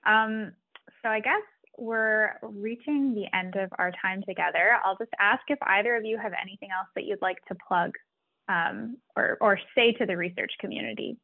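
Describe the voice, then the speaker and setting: Woman interviewer, mid pitch, courteous and bright, heard through a thin phone line. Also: normal speed. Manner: polite, upbeat wrap-up questions. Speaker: podcast host. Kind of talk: interview hosting. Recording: tinny phone-line audio.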